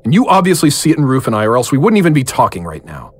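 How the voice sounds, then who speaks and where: Man acting a weary, earnest hero, very varied pitch. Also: wildly swooping pitch, racing, slightly rough, no room sound. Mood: pleading. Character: weary, haunted man, loyal and hopeful. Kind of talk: audio drama acting.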